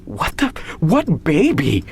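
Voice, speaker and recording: A man's voice: on the high side, wildly swooping pitch, slow pace, vocal fry, slight background noise, voice pushed up, exaggerated voice. A man playing a troubled, bewildered male surgeon who tries to reassure, in an audio story. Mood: bewildered, angry.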